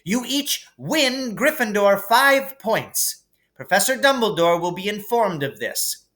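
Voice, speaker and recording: Man voicing a high-pitched stern older woman professor. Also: expressive, takes it slowly, sharp tone, heavily acted. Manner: clipped, scolding, authoritative.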